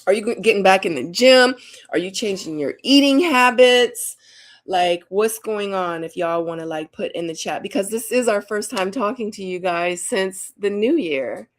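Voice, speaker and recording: A woman chats with her viewers on a live stream, filling her talk with "like" and "y'all". Her was Korean. Her voice sits at an everyday middle pitch. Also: moderate pace. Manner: chatty, says "like" a lot. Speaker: co-host. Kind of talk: casual live-stream chat.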